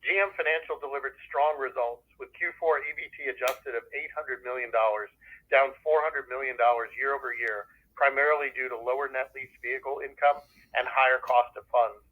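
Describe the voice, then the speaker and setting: A man's voice: mid-range voice, steady tone, brisk, narrow, tinny audio. A man speaking as a corporate finance executive, reading prepared earnings remarks in a formal, businesslike way over a telephone conference line. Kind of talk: scripted earnings call presentation. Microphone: telephone conference call.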